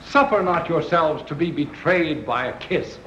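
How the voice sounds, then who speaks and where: Impassioned colonial statesman male actor, higher-pitched, declamatory, 1930s film drama with dull sound. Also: echoey room, background noise, lightly acted. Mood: grave. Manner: declamatory, measured, formal. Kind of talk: 1930s film acting, formal oratory.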